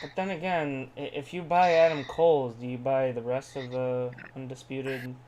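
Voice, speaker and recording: A man's voice: mid-range voice, fairly even intonation, moderate pace, a crowd in the background, low-quality recording. A man chatting casually as a podcast co-host. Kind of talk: casual wrestling podcast chat. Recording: home microphone with faint background noise.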